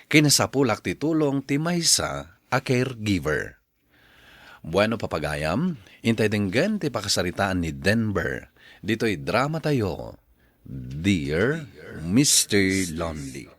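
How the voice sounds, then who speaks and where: A low-pitched man presenting a radio drama, with big melodic swings in his delivery. He announces formally and theatrically, reading scripted narration in a dry studio. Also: big pitch swings, slow, no echo.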